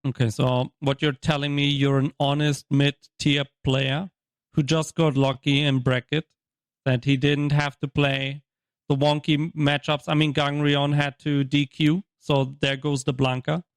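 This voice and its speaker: A man's voice: fairly high pitch, level tone, a little slow, no room sound. A man interviewing a tournament winner in a casual chat, with a teasing, jokey manner. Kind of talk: casual video-call interview.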